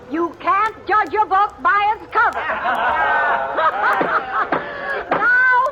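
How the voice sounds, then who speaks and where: A woman's voice: very high voice, exaggerated voice. An actress playing a bossy, talkative small-town woman who runs the local charity society, in 1950s radio acting style. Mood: gushing. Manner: chatty, bossy, talks over others. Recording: dull old radio recording with hiss.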